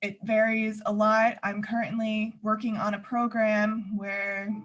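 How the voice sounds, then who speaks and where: A woman speaks clearly and steadily on a panel, in a medium pitch. She is an engineer at a defense contractor. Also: level tone, measured pace, fairly bright tone, muffled recording. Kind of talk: panel discussion.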